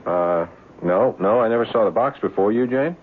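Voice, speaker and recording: A man acting a low-voiced, skeptical husband. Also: moderate pace, natural voice. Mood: puzzled. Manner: skeptical, plain-spoken. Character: skeptical, practical husband and homeowner. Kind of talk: 1970s radio drama acting. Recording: muffled, hissy 1970s radio broadcast.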